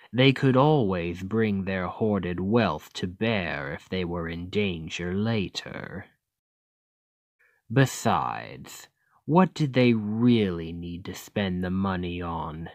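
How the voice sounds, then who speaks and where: Male actor as demon king, ASMR roleplay, very slow pacing, smug, calculating, deliberate, close dry sound. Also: low voice, no echo, full character voice. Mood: cynical, explanatory. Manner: slow, deliberate, condescending, taunting. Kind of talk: ASMR roleplay, scripted acting addressed to listener.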